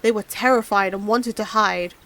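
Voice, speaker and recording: A woman narrating a fairy tale in an expressive storybook style. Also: medium pitch, normal speed. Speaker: audiobook narrator and streamer.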